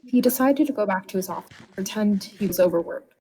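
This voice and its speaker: A woman's voice: mid-range voice, expressive, fast talker, mellow, a little hiss or hum, poor audio. A woman reading a written story aloud in a dramatic narrating style. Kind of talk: dramatic bedtime-story narration of a comic horror tale.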